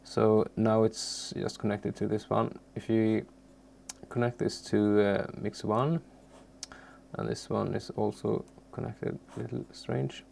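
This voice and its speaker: A man with a low, slow-paced voice walks through software as a non-native English speaker. He speaks haltingly and searches for his words in an unscripted tutorial, with faint noise behind him. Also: level tone, vocal fry. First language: not English. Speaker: tutorial presenter.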